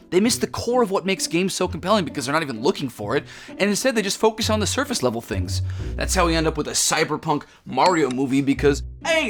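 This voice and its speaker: A man's voice: high voice, fairly bright tone. An actor playing a jaded, argumentative video game fan, a young man speaking emphatically in a comedy sketch. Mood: exasperated. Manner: opinionated, emphatic. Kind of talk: scripted comedic debate.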